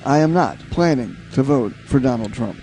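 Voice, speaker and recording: A man's voice: medium pitch, lively intonation, normal speed, warm tone. A man giving opinionated commentary on news headlines in a sarcastic manner, full of rhetorical questions. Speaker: political commentator. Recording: music playing behind the voice. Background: music.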